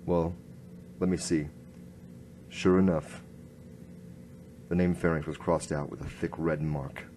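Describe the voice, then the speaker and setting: Man playing a low-voiced, terse noir inspector. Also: steady tone, measured pace, mellow, slight background noise. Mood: grim, deadpan. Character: hard-boiled male noir inspector, terse and grim, narrating his case. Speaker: narrator and lead actor. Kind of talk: noir radio drama narration.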